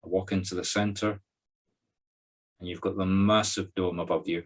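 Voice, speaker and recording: A Scottish man leading a guided meditation as a spiritual medium, giving instructions in short phrases with pauses between them. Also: low voice, level tone. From Scotland.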